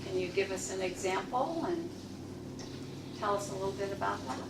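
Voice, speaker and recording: A woman's voice: mid-range voice, moderate pace. Woman host in an echoey room. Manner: chatty, joking questions. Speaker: club meeting host asking questions. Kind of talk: casual onstage interview. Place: meeting room. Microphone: far camera. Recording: echoey room, far off, background noise.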